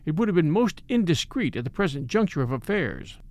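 A man's voice: on the high side, very animated, fairly quick, darkish tone. A man voicing a proper, discreet official in an audiobook, formal, earnest and easily pained. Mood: pained, shocked.

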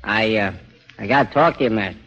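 An uneasy American innkeeper in a 1940s radio crime show. His voice is low and husky, his talk hesitant and full of street slang. The sound is muffled. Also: a little fast, a bit bright, faint music behind. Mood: nervous. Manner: hesitant, folksy tough slang.

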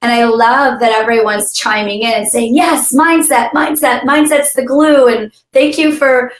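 A woman's voice: on the high side, steady tone, measured pace. Woman co-host, scratchy voice today, upbeat, kinda echoey room. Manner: upbeat, laughs often. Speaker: podcast co-host. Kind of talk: business podcast talk.

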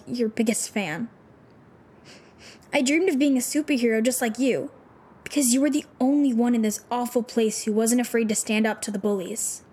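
An actress playing a troubled, disillusioned teenage runaway with superpowers in an audio drama, talking in halting, informal, youthful phrases. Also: female voice, a little high, warm tone, husky.

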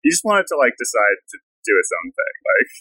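Bright, husky male podcast host. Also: medium pitch, quick pace, dry recording, rough recording. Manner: relaxed, many fillers like "like". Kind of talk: casual interview chat. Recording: outdoor field recording.

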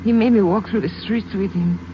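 A frightened young Mexican woman with a breathy voice, faltering and pained, as voiced by an actress. Her lines come through a muffled, lo-fi old radio broadcast with a steady hiss underneath. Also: medium pitch, fairly quick, lightly acted. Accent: Mexican Spanish. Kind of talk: 1950s radio drama acting.

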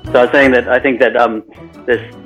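A man's voice: medium pitch, flat delivery, fairly quick, lo-fi sound, over music. A man with a New Zealand accent, a guest agency founder in a casual podcast interview, talking hesitantly with fillers.

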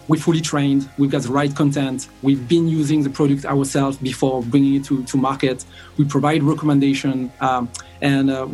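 A man's voice: medium pitch, darkish tone. A middle-aged man with a French accent, speaking conversationally as an interview guest. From south of France. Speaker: sales director, podcast guest.

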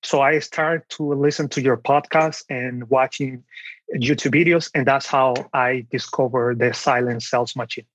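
A man's voice: medium pitch, steady tone, moderate pace, fairly bright tone, a little hiss or hum, poor audio. A young man from the Dominican Republic with a Dominican Spanish accent, telling his story casually as an interview guest. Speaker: podcast guest, online seller.

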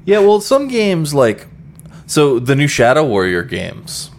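A man's voice: a little high, huge pitch swings, slow. A man co-hosting a podcast in casual, rambling chat, full of fillers and casual swearing. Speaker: podcast co-host.

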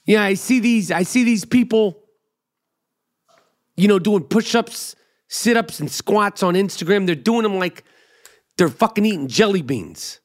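High-voiced man rambling on a podcast. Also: lively intonation, unhurried, pauses often. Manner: rambling, profane, self-mocking. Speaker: podcast host. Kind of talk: casual comedic monologue.

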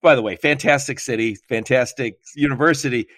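A man's voice: mid-range voice, brisk, no room sound. Middle-aged male host, dry close sound. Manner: storytelling, animated, chuckling. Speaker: podcast host. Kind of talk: casual podcast interview.

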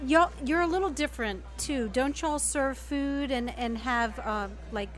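A woman hosting a casual conversational interview, with informal, halting phrasing as she works toward her questions. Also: high voice, faint music behind. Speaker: interview host.

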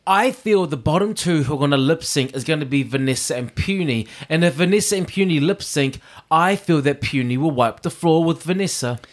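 Animated man in casual review chat. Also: a little high, a little fast, few pauses. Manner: animated, talks over others, acts out quoted bits. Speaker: podcast co-host reviewing a drag competition.

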